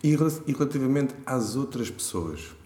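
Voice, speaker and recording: A man's voice: medium pitch, expressive, normal speed, dark tone. A man hosting an interview, asking long winding questions and repeating words as he talks. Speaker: interviewer, host. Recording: echoey room with faint ambient noise.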